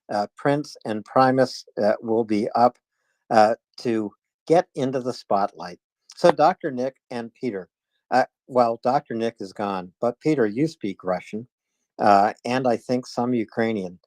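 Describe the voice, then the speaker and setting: Older male host, folksy and halting, mid-pitched, dry sound. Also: a little mellow, no room sound. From coastal Maine, US. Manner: folksy, hesitant, many "uh"s. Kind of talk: casual chat on a live audio space.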